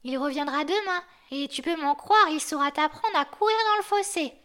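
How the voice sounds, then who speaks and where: A woman voicing an old, grumpy male yard dog who lectures like a know-it-all, in a high yappy voice. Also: moderate pace, pushed and bright. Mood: lecturing.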